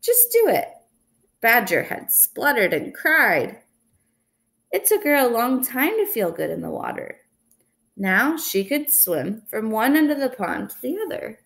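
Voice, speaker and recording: A young woman reading a children's picture book aloud in an expressive, deliberate way, recorded indoors at home. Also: on the high side, gravelly. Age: young adult. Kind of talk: storybook read-aloud. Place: indoors in a small room at home.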